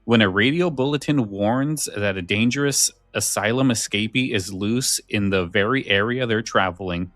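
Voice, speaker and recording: A man's voice: on the low side, normal speed, hardly any pauses, fairly bright tone, no room sound. A man hosting a podcast in casual conversation about scary stories, often repeating words as he feels his way along. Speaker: podcast host.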